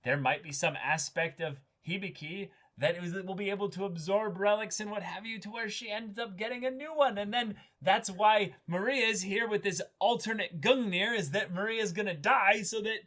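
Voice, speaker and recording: A man's voice: high voice, moderate pace, hardly any pauses, a bit bright. A man co-hosting a reaction show, talking casually through an episode with a friend. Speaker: co-host of an anime reaction show. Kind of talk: casual discussion of an anime episode.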